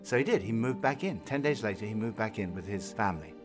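A man's voice: on the low side, animated, quick pace, over music. A man gives an earnest Bible teaching talk, telling stories and acting out quoted voices. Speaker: course presenter. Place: home-like room indoors.

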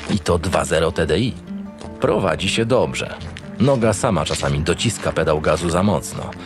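A man plays a cynical homicide detective in a crime audio drama. His voice sits fairly low, gruff and sardonic, with irritation and swearing never far away. Music plays underneath. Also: expressive, moderate pace. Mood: brooding. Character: cynical, irritable male homicide detective, foul-mouthed boss. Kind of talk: first-person audio drama narration and dialogue.

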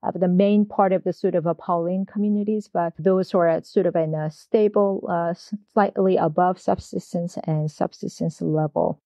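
A woman's voice: lowish voice, steady tone, moderate pace, hardly any pauses, dark tone, no echo. A woman professor answering interview questions, speaking in a hesitant way with many fillers and restarts. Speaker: guest scholar, history professor.

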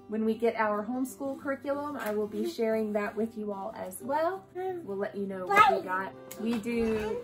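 Casual woman vlogger with music behind her. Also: on the high side. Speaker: homeschooling parent and vlog host. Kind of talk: casual family vlog chat, showing items to camera. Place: home room with bookshelf, indoors.

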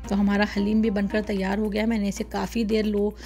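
A woman's voice: mid-range voice, level tone, a little fast, mellow, soft background music. A woman hosting a cooking channel, talking through each step of a recipe in a chatty, casual way with plenty of fillers. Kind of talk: casual cooking demonstration voice-over.

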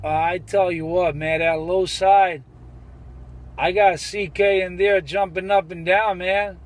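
A man's voice: high voice, fairly even intonation, a little slow. A man bantering boastfully as a CB radio operator, repeating his catchphrases.

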